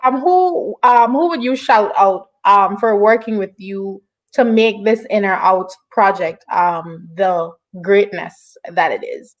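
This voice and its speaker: A woman with a medium-pitched voice hosts an informal online interview. She is encouraging and affirming, moving between chatty responses and reading out audience questions. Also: takes it slowly, few pauses. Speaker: online show host, interviewer.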